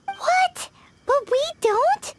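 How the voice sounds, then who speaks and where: An extremely high, squeaky tiny companion. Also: female voice, animated, extremely slow, very noisy, heavily acted. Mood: puzzled. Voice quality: squeaky, childlike. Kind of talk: video game voice acting.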